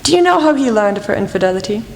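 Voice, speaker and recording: A woman playing a shrewd, commanding female detective in a radio play, speaking crisply and formally. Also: medium pitch, big pitch swings, rapid-fire, slight background noise. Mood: commanding, determined. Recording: reverberant hall, faint music.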